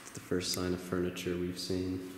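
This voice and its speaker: A man's voice: low voice, completely flat delivery, moderate pace. A young man chatting casually and reacting aloud in loose slang as he explores an empty building for a vlog. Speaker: urban explorer and vlogger. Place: inside large abandoned hospital building. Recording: echoey empty building, noticeable background noise.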